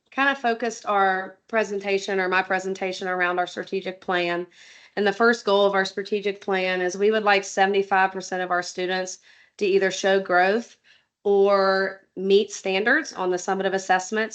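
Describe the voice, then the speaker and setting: A woman's voice: medium pitch, moderate pace, clean tone. Woman steadily presenting a school report. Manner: steady, reads out names and lists, with frequent ums. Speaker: school presenter at a school board meeting. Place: public meeting room.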